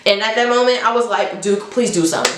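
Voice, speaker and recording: A woman's voice: medium pitch, fast, noisy background. Young woman storyteller in an echoey room. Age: young adult. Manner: conversational, slangy, dramatically re-enacts dialogue. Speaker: storytime vlogger. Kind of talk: casual animated storytelling to viewers.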